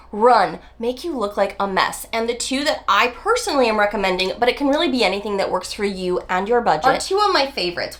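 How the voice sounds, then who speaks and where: A woman's voice: medium pitch, fairly bright tone. A woman in her forties, nasal from a summer cold, chatting to camera as a beauty vlogger in an emphatic, chatty way. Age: 40s. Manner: chatty, emphatic, drawn-out stresses. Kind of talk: casual talk to camera.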